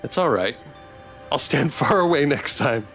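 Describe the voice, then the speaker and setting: A man's voice: medium pitch, animated, a little slow, fairly bright tone, faint music behind. A man streaming a visual novel, reading its text aloud with playful, theatrical commentary and joking asides.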